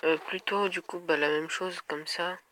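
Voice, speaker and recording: A man's voice: high-pitched, fairly even intonation, normal speed, heavy background noise. Man with a head cold, thinking aloud. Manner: thinking aloud, hesitant, talks to viewers. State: stuffed up with a cold. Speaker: gaming video creator. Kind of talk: casual gameplay commentary.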